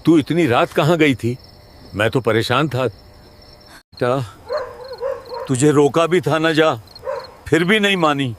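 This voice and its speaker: A man's voice: a little high, measured pace, long pauses, background noise, lightly acted. A man playing a worried, scolding village uncle in a dramatised moral story.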